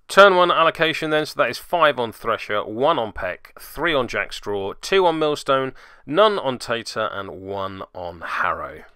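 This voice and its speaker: Bright, clear-voiced male game commentator. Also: medium pitch, no echo. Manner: jokey dry asides. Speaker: host and player narrating a tabletop game report. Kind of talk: casual play-by-play commentary voice-over.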